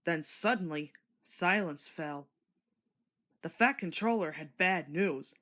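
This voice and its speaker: A woman narrating a scripted children's story in an expressive storytelling manner. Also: lowish voice, slow.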